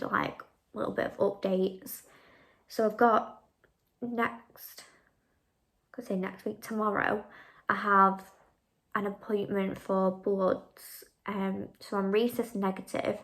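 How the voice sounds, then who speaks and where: A woman's voice: mid-range voice, gravelly, fairly bright tone, poor audio. A young woman chatting casually in a vlog, answering viewer questions with lots of fillers like "um" and "like". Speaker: vlogger.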